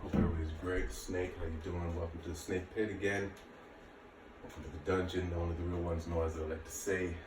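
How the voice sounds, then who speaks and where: Male fitness instructor, late 30s, very low voice, informal and reassuring, recorded at a distance from the mic. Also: flat delivery, brisk. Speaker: martial arts and fitness instructor. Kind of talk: casual workout instruction to camera. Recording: echoey room, distant mic, faint noise.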